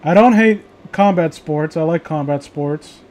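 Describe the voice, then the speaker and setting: A man with a high voice talks casually among friends. He rambles through his opinions and swears freely as he goes. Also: measured pace, a little mellow. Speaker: host of a reading show.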